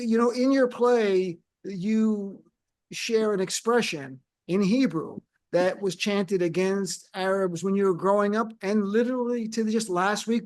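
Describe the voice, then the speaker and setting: A man hosting a radio interview, chatting with his guest, asking questions and giving show details. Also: high voice. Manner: conversational, asks questions and plugs the show. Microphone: studio microphone.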